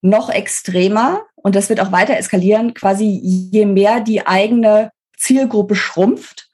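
A woman with a German accent and a somewhat husky voice at a middling pitch speaks as a podcast guest. She explains in an informal, emphatic way, thinking aloud and rephrasing as she goes. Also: unhurried, darkish tone, soft background music. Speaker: interview guest, author. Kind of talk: conversational expert explanation in a podcast interview.

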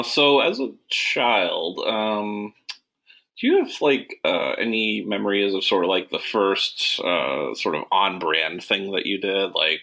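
Host: a man with a gravelly, fry-laden voice who asks loose, casual questions. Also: deep voice, bright, forceful tone, rough recording. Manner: casual, hedging questions with fillers. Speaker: podcast host. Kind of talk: interview questions.

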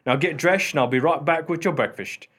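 A man's voice: on the high side, fairly quick, a bit bright, slightly rough. An actor playing an irreverent working-class married man in a radio play, folksy and cheeky in his speech. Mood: cheeky, coaxing. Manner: cheeky, familiar, unflappable.

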